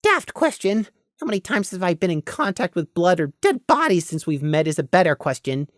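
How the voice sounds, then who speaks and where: A woman's voice: lowish voice, very animated, a little fast, dry recording, clean studio sound, voice pushed up. A woman voicing a cautious, earnest young male pony with a careful, hesitant manner in an audio story. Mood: wary, thoughtful.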